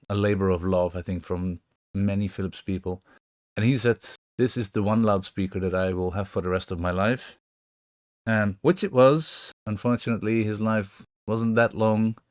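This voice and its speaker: A man presenting an informal, unscripted technical explanation in a measured way, as a voice-over. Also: on the low side, darkish tone, no room sound, clean studio sound.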